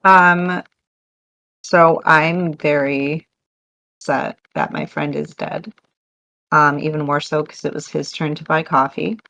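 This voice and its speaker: Low, creaky-voiced woman at a game table. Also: expressive, pushed and bright, poor audio. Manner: halting, thinking aloud. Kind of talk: casual game-table chat.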